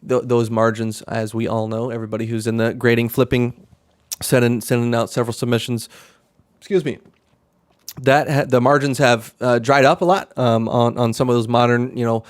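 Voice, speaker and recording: A young man hosting a casual live stream, talking in a rambling, monotone way with many fillers and restarts. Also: medium pitch, normal speed, no room sound, clean studio sound. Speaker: live stream host, card seller.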